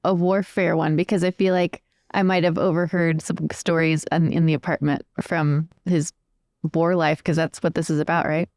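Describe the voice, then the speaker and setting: A woman's voice: low voice, flat delivery, moderate pace, darkish tone, no echo, studio quality. A woman playing a tabletop roleplaying game, improvising in a casual, chatty way. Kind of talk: casual improvised in-character chat.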